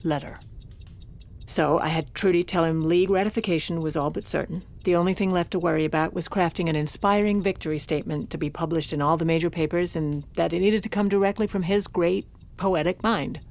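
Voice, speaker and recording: An actress playing a determined, scheming president's wife in an audio drama, confiding and commanding by turns. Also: female voice, on the low side, fairly even intonation, a little fast, a little mellow, lightly acted.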